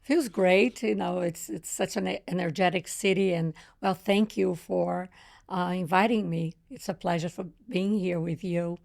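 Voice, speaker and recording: A woman's voice: on the low side, steady tone, moderate pace, creaky, a little mellow. A middle-aged woman from Brazil with a Brazilian accent, chatting unhurriedly as a podcast guest and sometimes phrasing things like a non-native speaker. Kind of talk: casual conversation.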